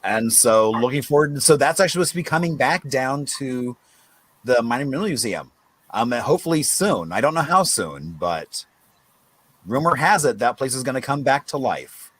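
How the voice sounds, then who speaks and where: A man's voice: mid-range voice, sing-song, moderate pace, fairly bright tone, breathy edge. A man hosting a casual virtual chat, easygoing and affirming, on a video call. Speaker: host.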